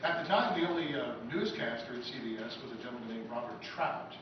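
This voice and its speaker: A man's voice: medium pitch, expressive. Echoey, distant man giving an informal talk. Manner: off-the-cuff, halting delivery with asides. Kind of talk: informal convention lecture. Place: echoey convention room, live talk. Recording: very echoey room, distant mic, dull, noisy.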